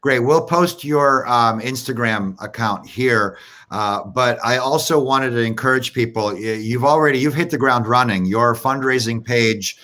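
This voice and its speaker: A male host leads a live interview and keeps his questions upbeat and encouraging. His voice sits at an ordinary middle pitch. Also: unhurried, pushed and bright.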